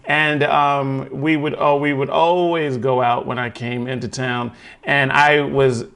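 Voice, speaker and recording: A man's voice: medium pitch, level tone, a little slow, gravelly, fairly bright tone, reverberant. A man from New York City hosting a casual interview, enthusiastic and full of slang. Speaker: podcast host.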